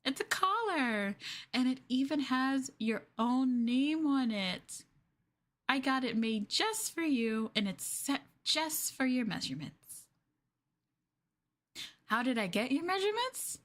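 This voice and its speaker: A woman playing a sweetly possessive, obsessive young girlfriend in a yandere ASMR roleplay, cooing coyly with drawn-out endearments. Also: sits high, big pitch swings, fairly bright tone, full character voice. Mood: coy, excited.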